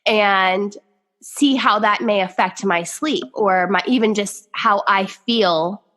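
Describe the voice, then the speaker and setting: A woman hosting a podcast, a little nasal from sinus congestion, bubbly and quick with praise. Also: mid-range voice, pushed and bright. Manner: bubbly, laughs often, gushing praise. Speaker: podcast host. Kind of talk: casual interview chat.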